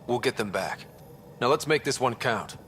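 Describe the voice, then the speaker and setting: An actor playing an earnest young space explorer and leader in a sci-fi game, casual but resolute. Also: male voice, mid-range voice, lively intonation, moderate pace, over loud music, close to an everyday voice. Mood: determined. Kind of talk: cinematic sci-fi game acting. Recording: clear background ambience noise.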